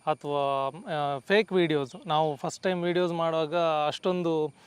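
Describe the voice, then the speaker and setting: A man's voice: a little high, fairly even intonation, moderate pace, smooth, dry recording. A man giving explanatory answers as an executive guest, often mixing in English words. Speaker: company CEO, guest.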